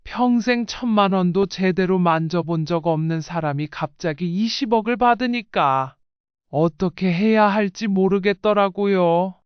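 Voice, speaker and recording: A woman's voice: mid-range voice, measured pace, clear, resonant tone, dry recording, highs cut off. A woman reading a scripted first-person life story in audiobook style. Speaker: narrator.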